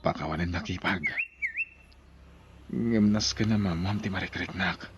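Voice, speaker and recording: An actor with an Ilocano accent playing a soft-spoken, guilty brother-in-law secretly in love, in an audio drama. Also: male voice, deep voice, unhurried, highs cut off, noisy background. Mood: guilty, confessing.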